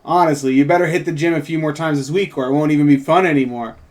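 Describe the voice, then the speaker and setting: A man voicing a sharp-tongued, flirtatious woman in an amateur table read of a fantasy TV script. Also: fairly high pitch, quick pace, natural voice. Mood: teasing.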